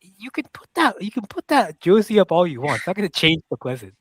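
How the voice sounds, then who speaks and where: A man in casual football banter on a stream, mocking a club in an animated, rambling way. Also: high-pitched, a little fast, husky, no echo.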